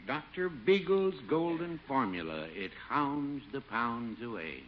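A man's voice: mid-range voice, sing-song, unhurried. An actor playing a mild, folksy small-town druggist with droll salesman patter in 1950s radio comedy. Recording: dull, noisy old radio with audience.

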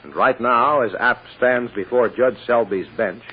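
Husky guy narrating a vintage radio drama, measured and suspenseful, dull lo-fi sound. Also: mid-range voice. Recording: dull old radio recording.

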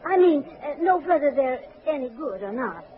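An actress playing a trusting, flustered old widow with a hesitant, folksy, polite manner in 1940s radio acting. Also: female voice, high-pitched, very animated, normal speed, some chatter behind. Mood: chatty, eager. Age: older. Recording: noisy, tinny 1940s radio recording.

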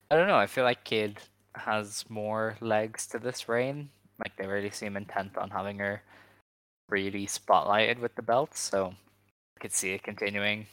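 Relaxed male podcast host with a low voice, hesitant and chatty, recorded dry and close. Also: no room sound, poor audio. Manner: relaxed, hesitant, many ums. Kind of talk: casual chat.

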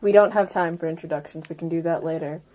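Businesslike lower-pitched woman playing a tabletop game. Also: fairly even intonation, fast, a crowd in the background. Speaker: tabletop role-play player. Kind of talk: in-character improvised game chat.

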